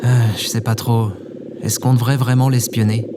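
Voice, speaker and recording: An anxious young man played by an actor, mid-range in pitch. He speaks hesitantly and awkwardly, in radio play style. There is clear ambient noise. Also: flat delivery, normal speed, close to an everyday voice. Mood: worried, hesitant. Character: anxious, awkward young man worried about his cat. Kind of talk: scripted audio drama acting.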